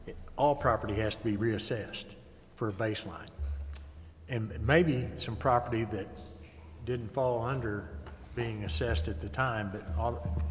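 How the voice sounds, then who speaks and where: An American man putting questions at a public meeting. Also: lowish voice. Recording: reverberant council chamber, faint noise.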